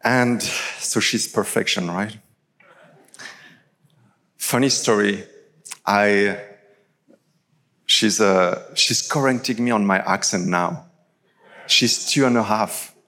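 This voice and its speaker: French guy pastor, lowish voice, chatty sermon, long hall echo. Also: takes it slowly, pauses often, bright, forceful tone. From France. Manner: casual, chatty storytelling with many fillers. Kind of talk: live sermon. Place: large church hall. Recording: live church recording with long hall reverb.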